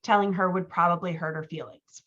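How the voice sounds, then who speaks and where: Lower-voiced woman coaching on dull audio. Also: steady tone, brisk, fairly bright tone. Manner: conversational, self-deprecating humour. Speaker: writing coach. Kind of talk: workshop critique, reading excerpts aloud and commenting.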